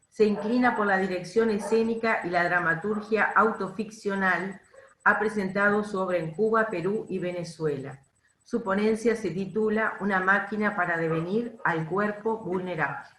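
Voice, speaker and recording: A woman's voice: mid-range voice, a bit bright. A woman moderating a conference panel, introducing speakers in a formal, slightly hesitant manner. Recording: echoey room sound.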